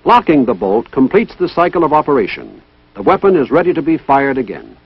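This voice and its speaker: Training film narrator: male, higher-pitched, formal and methodical, lively intonation, dull old soundtrack. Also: brisk, breathy edge, no echo. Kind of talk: scripted instructional narration. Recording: dull, band-limited vintage military film soundtrack.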